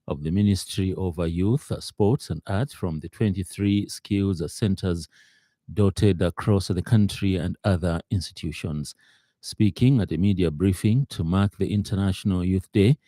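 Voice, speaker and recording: A man's voice: deep voice, warm tone, no echo, studio quality. A man with a Zambian accent reading a scripted radio news bulletin, with occasional hesitations. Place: radio studio.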